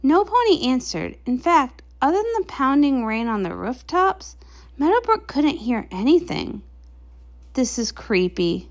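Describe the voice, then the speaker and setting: Animated woman narrating a storybook. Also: high-pitched, moderate pace. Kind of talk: expressive storybook narration of a comic.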